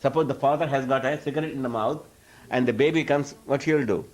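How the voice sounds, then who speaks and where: A man's voice: medium pitch, fast, low-quality recording. A man who is a spiritual teacher, answering audience questions in an informal lecture. He rambles, restarts his sentences often and chuckles as he talks. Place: live talk before an audience.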